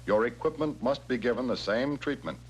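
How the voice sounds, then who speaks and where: A man reads measured, scripted instructional narration for a military training film, speaking directly to the viewer. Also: medium pitch, expressive, poor audio. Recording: old training film soundtrack, humming noise.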